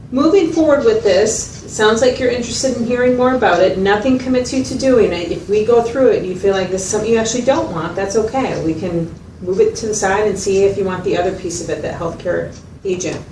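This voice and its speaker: A woman's voice: mid-range voice, a little fast, few pauses, darkish tone, close to an everyday voice. A woman playing a patient, methodical mental health facilitator who explains step by step and checks understanding in a dramatized consultation. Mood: patient, explanatory. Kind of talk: explanatory one-to-one consultation, role-played. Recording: roomy, distant mic, noisy room sound.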